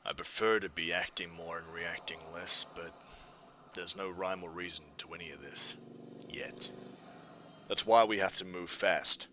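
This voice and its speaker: A man playing a grim, brooding masked vigilante detective, terse and gravelly, in a fan-dub drama. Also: deep voice, steady tone, unhurried, fairly bright tone, a little hiss or hum. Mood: determined. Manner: terse, serious.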